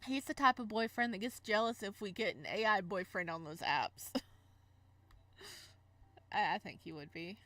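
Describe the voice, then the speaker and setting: A woman's voice: medium pitch, moderate pace, long pauses, sharp tone, no room sound. A woman reading a visual novel aloud with casual reactions, giggling and stumbling over words as she goes. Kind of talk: let's play read-aloud with casual reactions.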